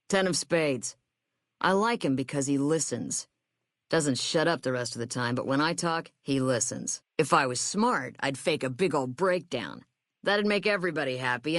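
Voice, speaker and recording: A woman's voice: low-pitched, no echo, studio quality. A woman voicing a brash, hard-bitten female army sniper corporal in a video game, with a blunt, sardonic, tough delivery. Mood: matter-of-fact, then defiant, sardonic.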